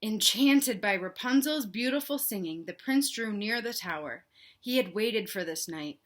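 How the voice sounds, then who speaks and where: A woman reading a storybook aloud for children with expressive narration and chatty asides to her young listeners. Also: medium pitch, normal speed. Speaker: read-aloud host for children.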